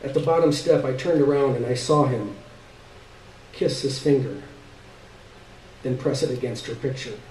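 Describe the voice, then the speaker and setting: A man's voice: mid-range voice, moderate pace, long pauses, a little mellow. A man giving a dramatic literary reading of a short story on stage. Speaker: story reader. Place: live stage reading in a room. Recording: echoey room, distant mic, faint noise.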